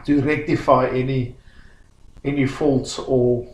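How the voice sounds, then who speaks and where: A man's voice: medium pitch, level tone, slow. A South African man in his fifties, talking casually with many fillers and restarts while presenting a service and his own work history. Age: 50s. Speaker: small business owner presenting a service. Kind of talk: casual promotional talk and self-introduction. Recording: echoey room with faint background noise.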